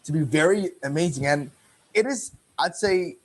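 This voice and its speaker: A man's voice: a little high, normal speed. Teen male interviewer, loose and rambling, self-correcting, casual. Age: teens. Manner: rambling, repeats and corrects himself.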